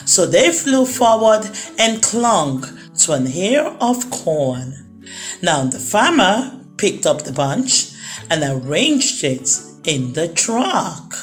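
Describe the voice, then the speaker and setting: A woman's voice: on the low side, big pitch swings, slow pace. A woman narrating a children's story expressively, drawing out words for emphasis. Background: music.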